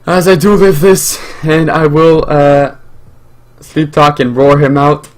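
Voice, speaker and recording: A man's voice: on the high side, level tone, takes it slowly. A young man whose first language is French, hosting his own channel and giving live, rambling commentary over a game with lots of fillers and restarts. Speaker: gaming channel host.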